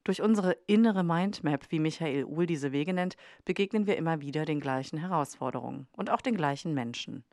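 A woman's voice: low-pitched, animated, a little fast, few pauses, a little mellow, dry recording, clean studio sound. A woman reading scripted podcast narration as the host.

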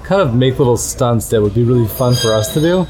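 A middle-aged man from Canada, a guest ethnographer speaking in a measured, reflective way with frequent restarts. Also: medium pitch, expressive, a little mellow. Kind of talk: conversational interview.

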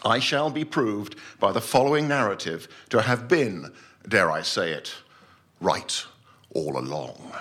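An actor playing a pompous, self-deluded scientist-explorer, formally and deliberately reciting his voyage report as a theatrical stage story. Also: mid-range voice, fairly bright tone. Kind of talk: dramatic first-person short story reading at a live night.

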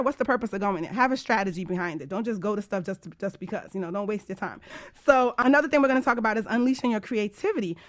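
A woman hosting a casual talk radio show as a coach, chatty, informal and emphatic. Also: medium pitch.

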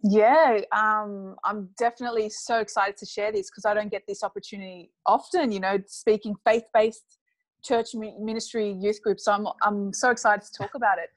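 A woman's voice: mid-range voice, steady tone, moderate pace, no room sound, low-quality recording. A woman from Australia with an Australian accent, chatting upbeat as an interview guest and laughing often. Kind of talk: casual interview chat.

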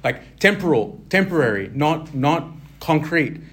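A man's voice: on the high side, lively intonation, unhurried, fairly bright tone. A man preaching an informal sermon, talking conversationally with many fillers and restarts and asking his listeners questions.

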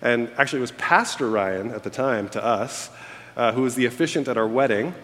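A man's voice: medium pitch, a little fast, a bit bright. A man in his thirties, born in Egypt and raised in America, giving a candid, self-deprecating farewell talk to a congregation. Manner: candid, self-deprecating storytelling with frequent fillers. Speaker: church staff member giving a farewell speech. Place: church auditorium on stage. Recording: long reverb of a large hall. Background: audience laughter.

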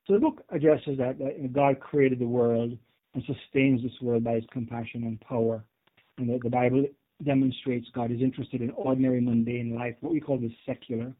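A man's voice: mid-range voice, fairly even intonation, moderate pace. Jamaican man on a phone line. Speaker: guest theology professor. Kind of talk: earnest explanatory interview answers. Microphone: telephone.

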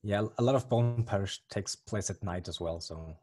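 Hesitant German man, low and dry. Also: normal speed, a little mellow, no room sound, soft background music. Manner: hesitant, many ums and restarts. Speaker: comic artist guest. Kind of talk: casual interview answers.

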